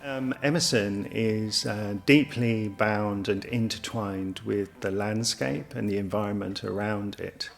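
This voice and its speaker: Low, gravelly man, deliberate delivery. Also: steady tone. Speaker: college staff member. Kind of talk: measured promotional interview. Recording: music behind the voice. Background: background music.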